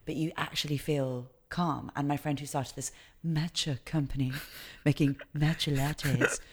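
A podcast host interviewing a guest, chatty and enthusiastic with quick asides. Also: medium pitch, steady tone, husky. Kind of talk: casual interview chat.

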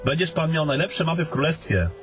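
A man's voice: a little high, lively intonation, fairly quick, natural voice. A man playing a dutiful young captain in a fantasy audio drama, speaking with polite, old-fashioned formality. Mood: dutiful, grateful. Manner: polite, formal, archaic courtesy. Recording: background music under the voice.

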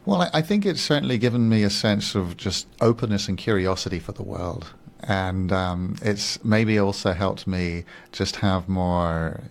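A Swiss-born man in his thirties, a guest coach on a radio interview, speaking in a soft, reflective way and often repeating words as he gathers his thoughts. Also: low voice, a little slow, creaky. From Switzerland.

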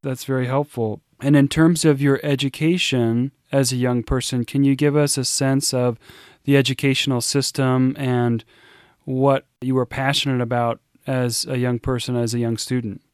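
A man hosting a podcast, reading a polite scripted intro and asking measured interview questions. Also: medium pitch, level tone, a little mellow, smooth, no room sound, clean studio sound. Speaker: podcast host.

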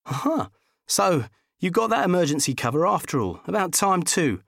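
A man's voice: high voice, very animated, moderate pace, gravelly, a bit bright, dry recording, studio quality, pitched above the performer's own voice, full character voice. A man playing an exasperated, sarcastic divorced man who nags and scolds emphatically in comic audiobook dialogue.

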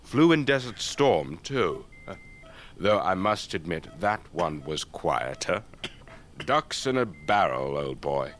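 Deep-voiced old English officer. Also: male voice, very animated, slow, a bit bright, slight background noise, exaggerated voice. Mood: solicitous, genial. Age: older. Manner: old-fashioned clipped officer politeness, rambling anecdotes. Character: elderly English retired air force officer, avuncular, chatty storyteller. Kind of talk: radio drama acting.

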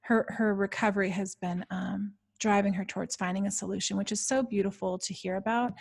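A woman's voice: medium pitch, steady tone, brisk, gravelly, a little mellow, slightly rough. A woman co-hosting a podcast, chatting casually and effusively in an informal way. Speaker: podcast co-host. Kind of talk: casual conversational interview chat.

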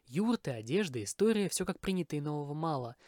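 Higher-voiced man hosting a podcast. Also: sing-song, fast, darkish tone, dry recording, studio quality. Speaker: podcast host. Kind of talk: scripted podcast monologue reviewing films.

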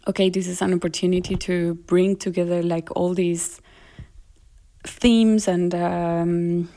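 Colombian woman, low gravelly voice. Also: flat delivery, measured pace, mellow. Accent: Colombian Spanish. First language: Spanish. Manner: thoughtful, hesitant, laughs often. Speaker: musician. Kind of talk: interview conversation.

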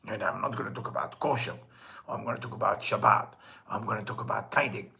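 A man's voice: fairly low pitch, fast, gravelly, bright, forceful tone. A man with a Hebrew accent, a native Hebrew speaker, giving an earnest weekly Torah lesson as a Kabbalah teacher, asking rhetorical questions and quoting texts in Hebrew. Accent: Israeli Hebrew.

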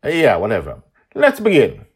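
Man playing a pert, high-pitched young girl. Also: wildly swooping pitch, measured pace, slightly rough, full character voice. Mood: mocking. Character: moody, sceptical ten-year-old girl.

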